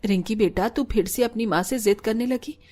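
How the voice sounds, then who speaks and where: A woman's voice: fairly high pitch, moderate pace, a little mellow, lightly acted. A woman voicing a kindly, reasoning older family woman in a cartoon story. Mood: gently chiding.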